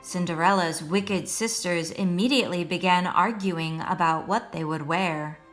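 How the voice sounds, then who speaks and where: A woman's voice: lowish voice, unhurried. Woman reading a fairy tale over music. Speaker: storyteller, first-grade teacher. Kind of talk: read-aloud children's storytelling. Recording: music behind the voice.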